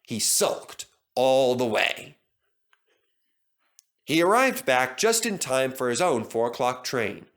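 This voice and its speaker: A man's voice: mid-range voice, big pitch swings, takes it slowly, long pauses, fairly bright tone. A man reading a scripted children's story as narrator.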